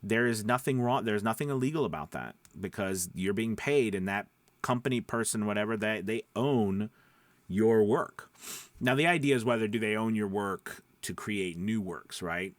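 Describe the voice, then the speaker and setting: A man's voice: on the low side, moderate pace, no room sound. A man giving an informal explainer as a voice-over coach, talking emphatically and often checking in with the listener. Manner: emphatic, with frequent check-in tags like "right?" and "okay?". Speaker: voice-over coach and vlog host.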